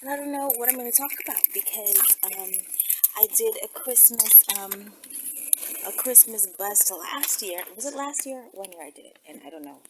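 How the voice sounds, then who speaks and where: A woman's voice: mid-range voice, animated, moderate pace, very noisy. A woman host talking casually to her viewers, sassy and digressive, interjecting comments as she reads out messages. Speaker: host of her own video channel.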